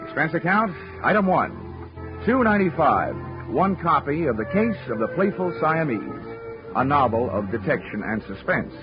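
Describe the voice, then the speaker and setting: A man's voice: a little high, sing-song, normal speed. An actor playing a wisecracking male insurance investigator, narrating in a dry first-person 1940s radio drama style. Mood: wry. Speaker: lead actor. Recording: dull old radio recording with music.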